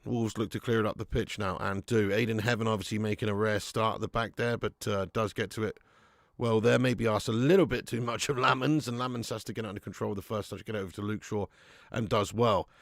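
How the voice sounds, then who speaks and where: A man hosting a live fan watch-along of a football match at home, chatting to viewers with sweary banter and bursting into loud cheering at chances. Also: fairly low pitch, steady tone, a little fast, vocal fry, no room sound, studio quality. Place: at home in front of a camera.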